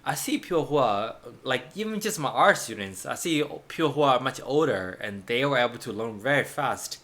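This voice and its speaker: A man's voice: mid-range voice, animated, normal speed, a bit bright. Man, 30s, native Chinese speaker, art teacher, casual chat, restarts a lot, laughs. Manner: rambling, restarts, laughs often. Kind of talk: casual chat while drawing.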